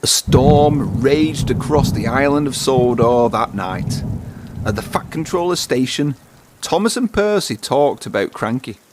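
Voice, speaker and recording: A man's voice: medium pitch, animated, a little slow, a little hiss or hum. A man narrating a children's storybook, reading aloud.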